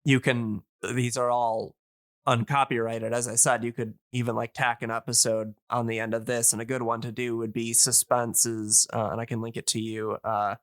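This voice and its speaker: A man in a casual explanatory podcast chat, talking in a hesitant, halting way as he thinks aloud. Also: mid-range voice, level tone, a bit bright, no room sound, studio quality.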